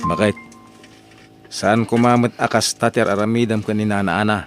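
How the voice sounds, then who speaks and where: A man with an Ilocano Filipino accent playing an exasperated, defiant husband in an audio drama, emphatic and expressive. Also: fairly low pitch, normal speed, loud music behind the voice, natural voice. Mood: indignant, admonishing. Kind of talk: radio-style audio drama acting.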